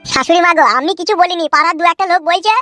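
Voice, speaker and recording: Man playing sheepish, fast-talking village son-in-law. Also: very high-pitched, sharp tone, no echo, faint music behind. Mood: apologetic. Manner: hesitant, bumbling, whiny protesting. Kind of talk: Bengali cartoon acting.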